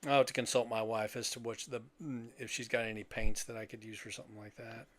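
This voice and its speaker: A man's voice: on the low side, flat delivery, fast talker, fairly bright tone, slight background noise. A middle-aged man chatting casually as a podcast host, rambling and jokey. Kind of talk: casual chat.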